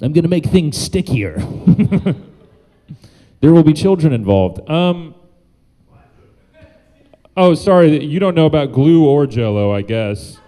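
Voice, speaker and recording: A man's voice: on the high side, very animated, slow, long pauses, warm tone. A man doing a loose, rambling stand-up set on a club stage, laughing at his own jokes. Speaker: stand-up comedian and guest host.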